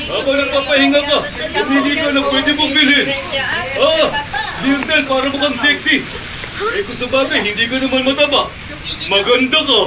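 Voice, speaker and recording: Militant street vendor, very high tone, hawking patter and harsh threats, radio drama. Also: male voice, fairly even intonation, normal speed, over a noisy crowd, low-quality recording. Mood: coaxing. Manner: coaxing sales patter, then harsh threats.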